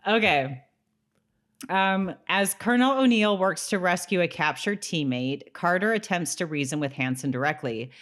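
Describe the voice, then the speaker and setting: A woman co-hosting a podcast, chatting casually as she recaps a TV episode. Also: sits low. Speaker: podcast co-host.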